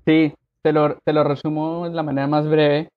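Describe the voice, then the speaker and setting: A man from Colombia, raised abroad, telling his life story as a podcast guest in a relaxed way with many fillers. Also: on the high side, normal speed, narrow, tinny audio. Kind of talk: conversational interview.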